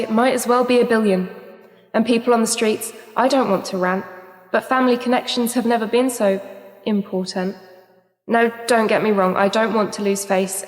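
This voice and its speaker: A woman's voice: fairly high pitch, lightly acted. An actress in a radio play playing an idealistic, weary woman poet with a dry, guarded manner. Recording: roomy echo with long reverb.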